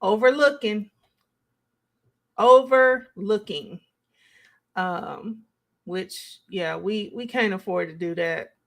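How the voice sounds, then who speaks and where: A woman's voice: mid-range voice, takes it slowly, vocal fry, pauses often, a bit bright. A woman hosting a live stream, giving casual, conversational reaction commentary as she thinks aloud and responds to chat.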